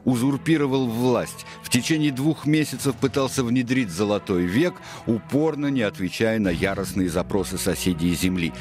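A man narrating a radio play in a grave, deliberate, literary storytelling manner. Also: fairly low pitch, natural voice.

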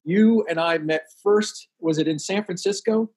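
A man from the Washington DC area hosting his own show, chatting casually with a guest and often pausing on "uh". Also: high-pitched, a little mellow, slight background noise. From Washington DC area, USA. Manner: friendly, chatty, many "uh" fillers. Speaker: podcast host. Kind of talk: casual interview chat.

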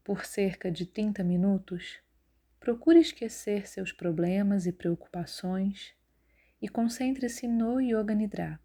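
A woman leading a guided yoga nidra relaxation in a calm, measured, instructive way. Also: medium pitch, slightly rough. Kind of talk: guided relaxation meditation narration.

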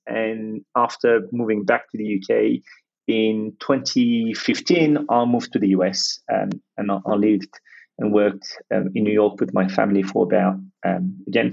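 A man's voice: fairly low pitch, creaky, darkish tone, highs cut off. A man from France, talking in a reflective, hesitant way as a podcast guest, with many restarts and fillers. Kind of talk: reflective conversational interview.

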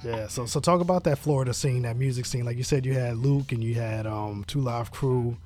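A man's voice: mid-range voice, fairly quick, darkish tone. A man from New York hosting a casual interview podcast, talking in an agreeable, slangy, laid-back way.

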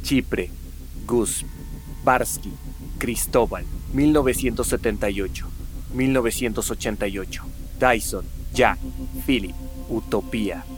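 An actor playing a serious, sceptical ex-soldier, firm and deliberate, in a Spanish-language radio drama. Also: male voice, fairly low pitch, soft background music, lightly acted, low-quality recording.

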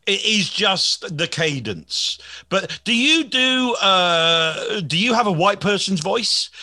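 A man in his forties hosts a casual podcast, rambling and poking fun at himself, with frequent restarts. His voice is bright and forceful and sits a little high. It is recorded close and dry. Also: expressive, takes it slowly, no echo. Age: 40s. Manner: rambling, self-deprecating, many restarts. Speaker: podcast host and comedian.

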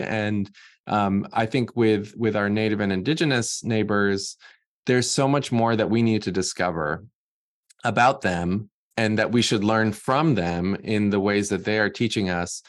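A man's voice: fairly low pitch, no echo, studio quality. A man from Massachusetts speaking earnestly as a library guest, thinking aloud with frequent restarts. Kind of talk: casual panel talk.

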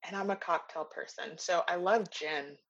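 Chatty woman host, husky voice. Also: lowish voice, normal speed, a bit bright. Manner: friendly, chatty, uses y'all. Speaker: podcast host. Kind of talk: casual interview chat. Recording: dull lo-fi sound.

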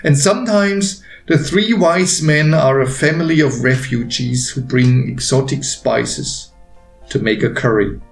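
A man reading his own story aloud as a narrator, with gentle, deliberate phrasing and pauses. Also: mid-range voice, vocal fry. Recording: roomy echo with faint music behind.

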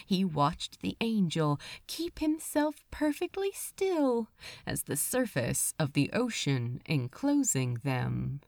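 A woman narrating a story from a script, with a dramatic, measured delivery and deliberate pauses. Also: lowish voice, sing-song, gravelly, darkish tone, no echo, clean studio sound. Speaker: audiobook reader of fan fiction.

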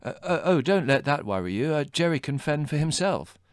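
A man's voice: on the high side, very animated, a little fast, smooth, dry recording, studio quality, pitched above the performer's own voice. A man voicing a frail, eager young city man who stammers and hesitates.

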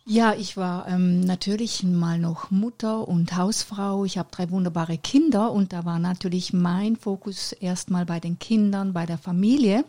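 A woman's voice: lowish voice, steady tone, measured pace, few pauses, dark tone. A middle-aged woman, a life coach and podcast guest, speaking openly and reflectively in a casual interview. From Switzerland.

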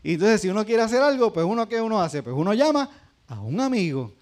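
Man, Puerto Rican accent, pastor, conversational sermon, high voice, asks questions. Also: sing-song, fast talker, darkish tone. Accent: Puerto Rican Spanish. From Puerto Rico.

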